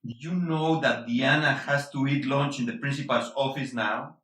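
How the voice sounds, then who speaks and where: A man's voice: medium pitch, fairly even intonation, moderate pace, a bit bright, lightly acted. Bitter middle-aged father male actor, stage drama. Confrontational, bilingual, hard-edged delivery, recorded roomy and distant. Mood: accusing, exasperated. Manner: confrontational, emphatic, swearing, switches between English and Spanish. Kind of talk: intense stage-play reading. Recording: echoey room, distant mic.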